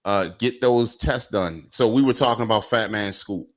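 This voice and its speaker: A man's voice: on the low side, moderate pace. A man in his forties hosting a casual talk show, laid-back and rambling with plenty of fillers. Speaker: host. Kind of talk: casual podcast chat. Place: Atlanta.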